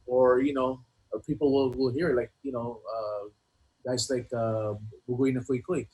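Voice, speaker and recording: A man speaking casually as a music interview guest, in halting, repetitive phrases with many filler words. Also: medium pitch, steady tone, dark tone, poor audio. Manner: halting, repetitive phrasing with frequent "you know". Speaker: guest hip hop artist.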